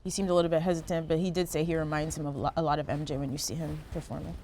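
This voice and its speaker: A woman's voice: sits low, little pitch movement, rapid-fire, warm tone, slight background noise. A woman with an American accent hosting a panel talk show, casually introducing and reading out news items. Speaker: talk show host and moderator.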